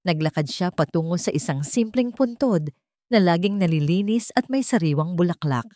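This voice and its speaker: A woman reads a scripted story in a fairly low voice. Her delivery is measured, earnest and formal. The sound is dry and close, with little room around it. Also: lively intonation, warm tone, no echo.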